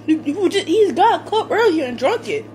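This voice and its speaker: A woman's voice: extremely high pitch, very animated, normal speed, a little mellow. A young woman casually tasting food and commenting between bites, filmed close on a phone at a table indoors. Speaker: vlogger. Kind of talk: casual eating vlog chat. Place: small room indoors at a table. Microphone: phone camera close up. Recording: noisy home phone recording.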